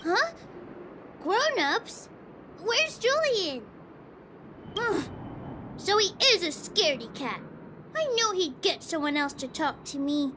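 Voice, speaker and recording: A woman's voice: extremely high pitch, sing-song, slow, long pauses, noisy background, exaggerated voice. A woman playing a boastful, bossy little girl leading a kids' gang in a video game, with brash, childish, dramatic delivery. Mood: indignant. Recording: loud music under the voice.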